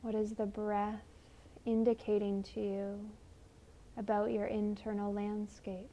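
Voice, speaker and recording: A woman leading a guided meditation in a gentle, soothing, unhurried manner with long pauses. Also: mid-range voice, completely flat delivery, a little hiss or hum.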